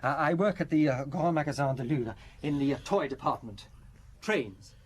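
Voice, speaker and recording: A man's voice: a little high, expressive, moderate pace, slightly rough. An actor playing a nervous, fragile, apologetic man in a radio drama, stammering and rambling in a flustered way.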